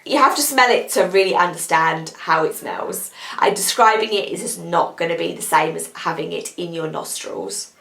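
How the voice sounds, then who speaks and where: A young British woman with a croaky voice from a cold, chatting casually as she reviews beauty products she has used up. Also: lowish voice, normal speed, fairly bright tone, echoey room. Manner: chatty, rambling, upbeat. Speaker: beauty vlogger.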